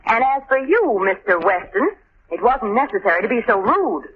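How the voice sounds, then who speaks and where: Brief: talkative, excitable young companion, animated, old radio sound. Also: female voice, fairly high pitch, moderate pace, dull audio. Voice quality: bright, excitable. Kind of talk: 1930s radio drama acting. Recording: scratchy old radio recording.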